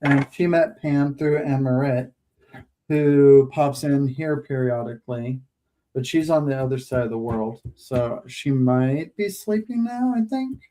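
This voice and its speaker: Slow, hesitant craft stream host. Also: medium pitch, mellow. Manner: slow, hesitant, many ums. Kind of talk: casual chat.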